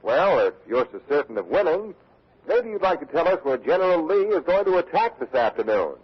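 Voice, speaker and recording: An actor playing a brisk radio correspondent, formal in his reporting and dry in his questions, in 1940s drama style. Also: a little high, sing-song, slightly rough. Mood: dry, probing. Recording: muffled old 1940s radio recording.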